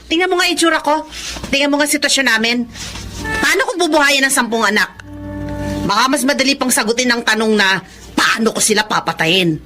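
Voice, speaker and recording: A woman's voice: high-pitched, expressive, brisk, music in the background. A bitter, blunt Filipino mother. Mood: bitter, defensive. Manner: blunt, scornful, rhetorical questioning. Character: hard, unsentimental poor mother of ten, defensive and bitter. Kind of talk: Filipino radio drama acting.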